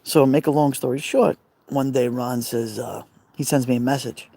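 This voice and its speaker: A man's voice: mid-range voice, steady tone, moderate pace, vocal fry, dark tone. A middle-aged man with a Brooklyn accent, chatting on a live stream outdoors by a fire pit and answering viewers by name in a rambling, friendly way. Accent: Brooklyn New York. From Brooklyn, New York.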